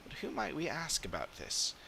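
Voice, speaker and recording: A man voicing earnest, analytical fantasy adventurers from a video game in measured, formal phrasing. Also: a little high, huge pitch swings, creaky, pushed and bright, a little hiss or hum, exaggerated voice. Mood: earnest, puzzled. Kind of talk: dramatic read-aloud of game dialogue.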